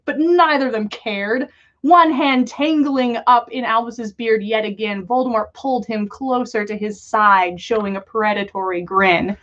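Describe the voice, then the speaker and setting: A woman's voice: on the high side, a bit bright, lo-fi sound. A woman hosting a livestream, reading stories and chatting casually in a playful, giggly manner. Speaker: podcast host reading listener stories. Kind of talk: livestream storytelling and casual chat.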